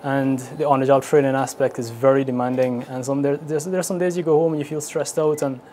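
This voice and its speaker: A man's voice: medium pitch, flat delivery, brisk, mellow. A man with a Guyanese accent giving informal interview answers as an air traffic controller. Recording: clearly noisy location interview.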